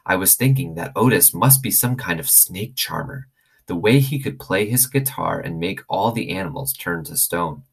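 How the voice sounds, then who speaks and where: A man reading a children's novel aloud as an expressive storyteller. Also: low-pitched, slightly rough.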